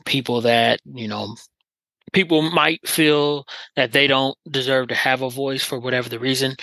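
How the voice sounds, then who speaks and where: A man's voice: medium pitch, sharp tone, no echo, poor audio. A man hosting a podcast, talking in an earnest, encouraging way with lots of filler phrases. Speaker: podcast host. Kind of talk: casual interview chat.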